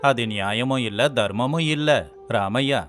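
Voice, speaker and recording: A man's voice: medium pitch, unhurried, a bit bright, natural voice. Man acting a solemn, righteous king. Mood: calm, firm. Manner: solemn, moralising, formal. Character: humble, righteous male king, earnest and wise. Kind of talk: animated folk-tale acting. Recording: music behind the voice.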